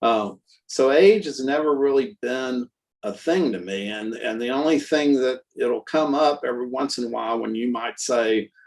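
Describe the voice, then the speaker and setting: Sixties man, loose easygoing storyteller, mid-pitched voice, talks around his point with fillers. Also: moderate pace, rough recording. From Texas. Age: 60s. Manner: rambling, relaxed storyteller with many fillers. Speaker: guest artist and musician. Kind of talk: casual conversational interview.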